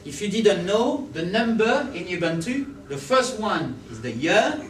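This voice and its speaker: A man's voice: high-pitched, a little slow, slight background noise. A man with a French accent giving a conference talk and often asking the audience if they follow. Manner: animated, asks the audience for agreement. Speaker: conference speaker. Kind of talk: presenting a talk. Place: large conference hall. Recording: roomy hall sound from a distance.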